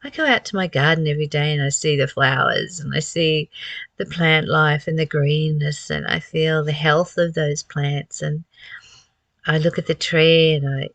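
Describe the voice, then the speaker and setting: Woman, lowish voice, dry close sound, reflective spiritual teaching. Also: fairly even intonation, a bit bright, dry recording. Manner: reflective, unhurried phrasing with rhetorical questions. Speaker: spiritual teacher reading and commenting on a course. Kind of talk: spiritual talk, part reading, part reflection.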